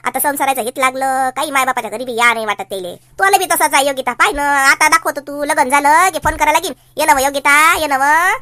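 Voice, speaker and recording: A woman's voice: high-pitched, brisk, few pauses, bright, forceful tone, dry recording. A woman voicing a fretful, doting middle-aged mother in a cartoon comedy, with a Varhadi Marathi accent and a homely, chiding way of talking. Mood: teasing reproach.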